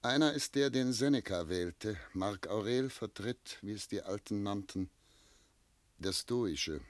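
A man's voice: lowish voice, unhurried, dry recording, lightly acted. An actor playing a tormented, self-doubting man of seventy in love, speaking solemn literary German lines in a classic radio play. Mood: grave, pleading. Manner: solemn, literary, declamatory phrasing.

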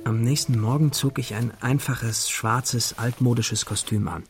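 An actor playing an adventurous young schoolboy hero, narrating his memories in a measured, literary radio drama style. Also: mid-range voice, fairly even intonation, lightly acted. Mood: reflective. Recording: studio radio drama with music behind. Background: music.

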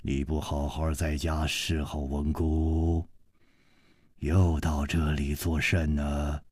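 A man playing a stern, dignified elderly swordsman, a father with a low, grave, authoritative voice. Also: level tone, slow, darkish tone, breathy edge, dry recording, studio quality, full character voice.

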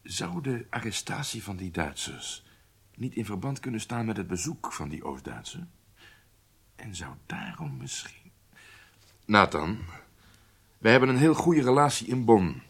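An actor playing an authoritative, probing intelligence chief in a radio drama, speaking deliberately in a husky voice. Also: male voice, lowish voice, sing-song, heavily acted. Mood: calculating.

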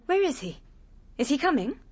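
Young English female: anxious radio-play wife, very high, swooping, pleading. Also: very animated, moderate pace. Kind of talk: radio drama acting.

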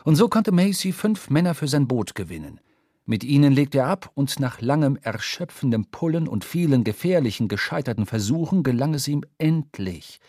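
A man narrating a literary radio drama from a script, storytelling in measured, formal diction. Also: mid-range voice, creaky, a little mellow, slightly rough, no room sound, studio quality. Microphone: close studio microphone.

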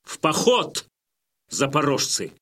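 An actor playing a stern Cossack war leader, speaking in a declamatory, rousing manner for a dramatised audiobook. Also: male voice, on the high side, wildly swooping pitch, takes it slowly, a bit bright, exaggerated voice. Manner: declamatory, oratorical.

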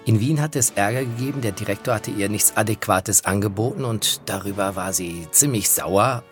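A man's voice: fairly low pitch, moderate pace. A man presenting opera anecdotes as a music journalist, in a colloquial storytelling way. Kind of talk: anecdotal spoken narration.

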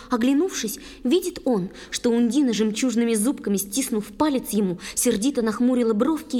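A woman narrator reading a fairy tale in verse with expressive storybook delivery. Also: on the high side. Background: faint music.

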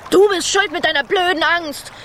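A woman's voice: very high-pitched, moderate pace, a loud crowd. A woman voicing a small, whiny and dramatic mouse girl in a children's radio play. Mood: indignant. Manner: squealing, whiny, sulky.

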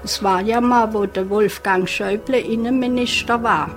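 A woman's voice: on the high side, fairly even intonation, moderate pace, over music. Woman telling an anecdote. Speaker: interviewee recalling local history. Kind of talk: spontaneous anecdote.

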